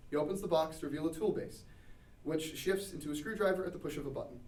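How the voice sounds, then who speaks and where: A man's voice: a little high, fast, darkish tone. A man reading scripted stage directions as narrator at a table read. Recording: echoey room, distant mic.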